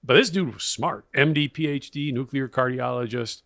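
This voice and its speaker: A man co-hosting a podcast, telling stories from the past in an animated, casual way. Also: medium pitch, moderate pace, gravelly, dry recording, clean studio sound. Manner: reminiscing, animated storytelling. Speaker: podcast co-host. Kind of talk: casual chat.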